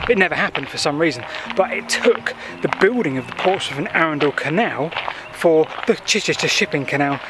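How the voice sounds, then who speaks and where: Chatty man explaining local history. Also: fairly high pitch. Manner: chatty, explanatory, with thinking-aloud fillers. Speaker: history vlogger. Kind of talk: walking history vlog. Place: outdoors by a canal path and beach. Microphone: handheld selfie camera at arm's length. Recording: faint outdoor noise.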